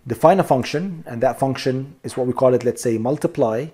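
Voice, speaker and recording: A man's voice: mid-range voice, fairly quick, mellow, slightly rough. A man teaching a programming lesson over a screen recording, explaining each step and checking in with "okay?" and "here we go" as he addresses viewers as "you guys.". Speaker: programming instructor.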